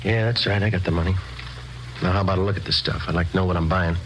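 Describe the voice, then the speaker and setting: A man playing a matter-of-fact undercover police detective in a 1950s radio crime drama, clipped and deadpan. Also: deep voice, fast, slight background noise, natural voice, poor audio. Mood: wary, brusque. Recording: vintage radio recording.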